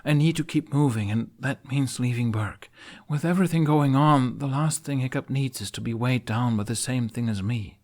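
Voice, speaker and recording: A man's voice: mid-range voice, moderate pace, a little mellow, voice pushed up, close to an everyday voice. A man voicing a guarded young man who hides his worries behind half-hearted jokes in an audio story. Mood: guarded, conflicted. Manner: flippant, half-hearted joking.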